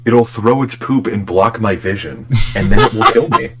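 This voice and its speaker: A man's voice: fairly low pitch, moderate pace, over music. A young man making absurd, exaggerated jokes in a casual podcast group chat. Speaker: podcast co-host.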